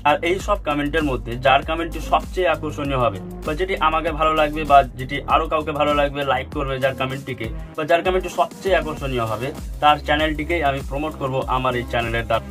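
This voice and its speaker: A man's voice: a little high, fast talker, a bit bright. A man hosting a tech channel, narrating a tutorial and addressing viewers directly as friends in an instructional way. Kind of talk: tutorial narration for an online video. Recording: music bed under the voice. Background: background music.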